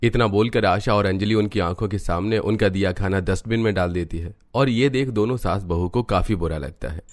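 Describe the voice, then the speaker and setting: Low-voiced male cartoon narrator. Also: fairly quick.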